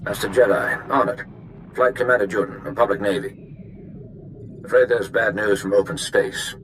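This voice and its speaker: A man's voice: lowish voice, normal speed, a bit bright, lightly acted, rough recording. A man playing an earnest navy flight commander in a video game, giving a formal military briefing. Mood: earnest, official. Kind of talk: video game character acting. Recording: faint noise behind the voice.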